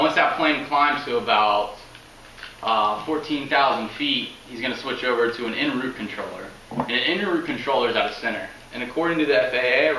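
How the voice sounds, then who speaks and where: A man's voice: medium pitch, sharp tone. A young man giving an informal informational speech as a student, recorded indoors by a camera a few meters away. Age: young adult. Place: indoors in a home room. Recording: roomy, distant mic, faint noise.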